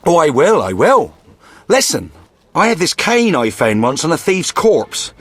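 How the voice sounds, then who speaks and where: An actor playing a crusty, wily old man in a video game, chatty and grumbling with a raspy voice. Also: on the high side, very animated, unhurried. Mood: wily, amused. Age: older.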